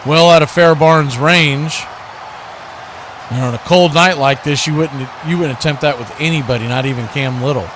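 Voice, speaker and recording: An American man giving live play-by-play football commentary in a folksy, animated way, full of homespun exclamations and bursting into loud calls. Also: fairly high pitch, moderate pace, a little hiss or hum. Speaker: play-by-play sports commentator on a radio watch party.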